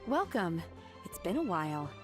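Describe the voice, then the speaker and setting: A woman's voice: on the low side, big pitch swings, normal speed. A woman playing a reserved young disciple in a video game, polite, formal and sincere. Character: reserved, earnest young female disciple working as waitress. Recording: music playing behind.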